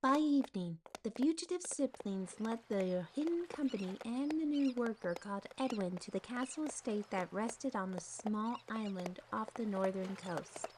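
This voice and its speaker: A woman's voice: mid-range voice, lively intonation, moderate pace, hardly any pauses, a little mellow, a little hiss or hum, low-quality recording. A woman narrating a scripted fantasy story.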